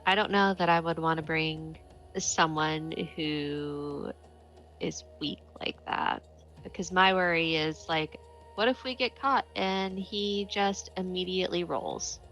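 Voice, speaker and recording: A woman's voice: lowish voice, takes it slowly, fairly bright tone. A woman talking casually as a player in a tabletop role-playing game. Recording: music under the voice.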